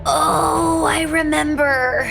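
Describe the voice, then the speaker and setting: A woman playing a cheeky young female street performer in a video game, bubbly and expressive. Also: sits high, drawn-out words, vocal fry, faint music behind, full character voice. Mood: bright, sheepish. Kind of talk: video game character acting.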